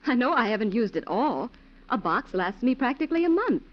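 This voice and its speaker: A woman's voice: a little high, very animated, a little fast. A woman playing an everyday housewife, chattily musing aloud in a 1940s radio commercial style. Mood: puzzled. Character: everyday housewife puzzling to herself in kitchen. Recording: muffled 1940s radio recording.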